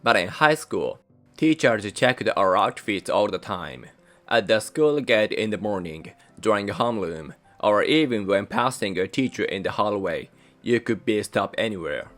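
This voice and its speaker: Low-voiced man with a Japanese accent. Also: expressive, measured pace. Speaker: channel host. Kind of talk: scripted narration. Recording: soft music behind voice.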